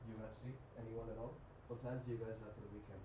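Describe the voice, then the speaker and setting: A young man hosting a live trading stream, chatting casually with viewers in a relaxed, rambling way with many ums and restarts. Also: on the low side, quick pace. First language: English. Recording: muffled lo-fi audio with clear noise.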